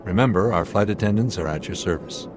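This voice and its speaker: A low-pitched male airline captain, played by an actor in a radio drama, measured and authoritative under pressure. There is a dry, wry edge to his command, and music runs clearly behind him. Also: natural voice. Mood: grave, decisive.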